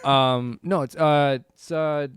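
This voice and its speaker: Clear-voiced man hosting a casual podcast. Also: on the high side, steady tone, a little slow, dry recording, clean studio sound. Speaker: podcast host. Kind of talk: casual chat.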